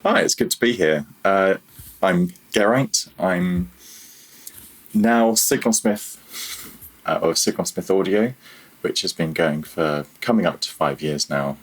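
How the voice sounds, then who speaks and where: A man chatting casually as an interview guest, with a halting, hesitant delivery. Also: deep voice. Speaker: interview guest, audio developer.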